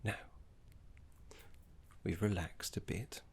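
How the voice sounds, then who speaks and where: A man voicing a gentle, courteous, scholarly older necromancer teacher in a soft-spoken, encouraging ASMR roleplay, with a breathy whisper. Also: steady tone, slow, exaggerated voice. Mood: politely fussy. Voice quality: breathy, creaky low whisper. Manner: soft-spoken, genteel, encouraging, slightly fussy.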